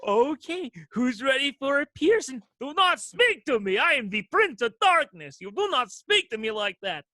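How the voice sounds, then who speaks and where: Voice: high voice, very animated, normal speed, pushed and bright, no room sound, clean studio sound, pitched above the performer's own voice, heavily acted. A performer voicing a pompous, fussy and easily frightened vampire prince in a haughty, theatrical manner for a comedy podcast. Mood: imperious, nervous.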